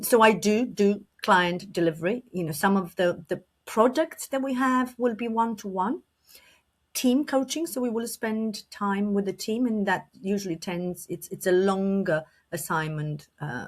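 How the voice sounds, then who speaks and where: A middle-aged woman from Romania, a leadership coach answering podcast questions fluently, with restarts and a slight cough. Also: lowish voice. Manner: fluent with frequent restarts and fillers.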